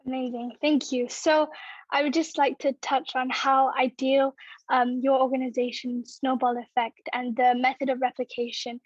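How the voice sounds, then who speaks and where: A woman's voice: high-pitched, flat delivery, normal speed, dry recording, muffled recording. A young woman moderating a panel, speaking earnestly and thanking the speakers. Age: young adult.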